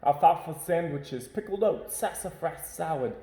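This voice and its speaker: A man reads a children's picture book aloud at home, shaping each line expressively. His voice is mellow and dark-toned, and the room adds a noticeable echo. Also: fairly high pitch, moderate pace. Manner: expressive, varied reading. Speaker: storyteller. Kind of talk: children's storybook read-aloud.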